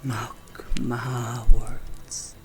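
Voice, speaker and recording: Man playing a very slow, drawling Western bounty hunter. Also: medium pitch, monotone, music in the background. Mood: wounded, vengeful. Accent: American Southern, Western drawl. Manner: drawling, teasing, theatrical. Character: flirtatious, roguish werewolf bounty hunter in a Western. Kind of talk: Western audio roleplay acting.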